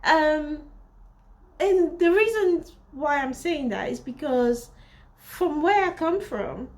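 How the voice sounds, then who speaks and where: A young woman from Africa, a vlogger and fashion designer, telling a story casually to camera in an animated, chatty way full of fillers. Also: high voice, takes it slowly.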